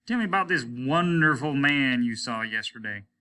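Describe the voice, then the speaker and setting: A man reading a story, voicing a domineering, scornful spaceship captain in a loud, commanding, condescending manner. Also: medium pitch, lively intonation, normal speed, clear, resonant tone. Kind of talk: dramatic audiobook reading.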